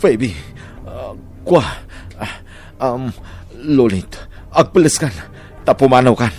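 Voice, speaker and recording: A man's voice: mid-range voice, animated, slow, a little mellow, faint music behind. A man with an Ilocano accent acting a flustered, defensive husband begging forgiveness in a radio drama. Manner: pleading, agitated.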